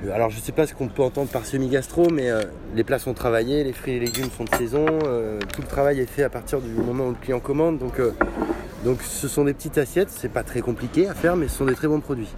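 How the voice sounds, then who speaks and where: A middle-aged man talks slowly and hesitantly, with plenty of pauses and fillers, as he answers questions about his bar. He is recorded outdoors on a city street, with clear traffic noise behind him. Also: medium pitch. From France. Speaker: bar owner. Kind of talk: casual interview.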